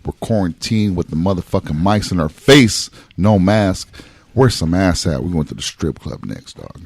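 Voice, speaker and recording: A man's voice: low voice, animated, moderate pace, gravelly, a little mellow, husky, dry recording. A tipsy man co-hosting a podcast, joking in a rowdy, crude, boisterous way. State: tipsy from shots. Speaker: podcast co-host.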